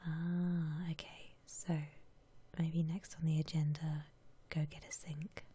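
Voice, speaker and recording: A woman whispering softly and breathily in a gentle, musing way as she gives ASMR commentary on a game. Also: deep voice, level tone, breathy edge. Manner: gentle, unhurried, musing aloud. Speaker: ASMR streamer playing a house-decorating game.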